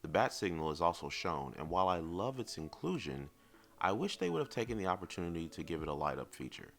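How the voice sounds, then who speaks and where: A man reviewing collectibles in semi-scripted narration, speaking in a measured, articulate and lightly wry way over background music. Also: low voice, very animated, creaky, fairly bright tone.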